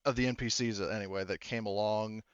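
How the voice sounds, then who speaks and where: A man's voice: lowish voice, steady tone, fast talker, clear, resonant tone, no echo, dull audio. A man running a tabletop roleplaying game as game master, talking casually and often restarting his phrases.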